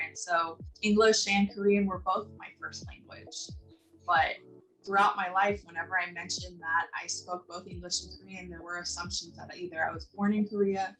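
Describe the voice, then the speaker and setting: Earnest woman, distant mic, soft music. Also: lowish voice. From Southern California. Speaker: community member sharing experiences. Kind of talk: personal testimony in a video.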